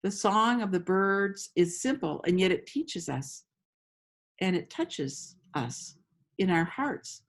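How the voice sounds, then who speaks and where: A woman leading a guided meditation as host, speaking slowly and invitingly with long pauses, in a gentle storytelling style. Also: mid-range voice, lively intonation. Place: outdoors among roses.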